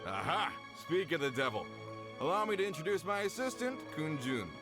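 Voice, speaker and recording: A man's voice: very animated, brisk. An actor playing a boastful, self-assured mine contractor who talks up his hired expert like a salesman. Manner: boastful, salesman-like, wide swings in pitch. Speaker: requester. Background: game music.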